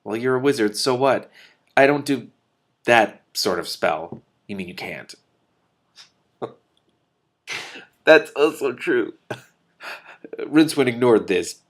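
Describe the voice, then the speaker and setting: A man reading a book aloud expressively as host, breaking in with his own animated, off-the-cuff commentary. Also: mid-range voice, creaky.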